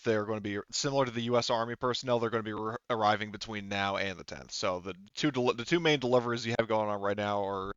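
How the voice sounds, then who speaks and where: A man's voice: fairly low pitch, a bit bright, clear, resonant tone, no room sound, muffled recording. A man running a tabletop roleplaying game as game master, patiently clarifying details to players step by step.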